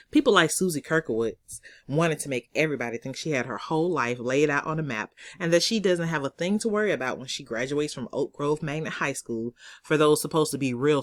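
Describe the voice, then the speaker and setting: A woman reading her own novel aloud as expressive first-person narration, in a conversational, sassy storytelling manner. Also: rumbling low, dry recording.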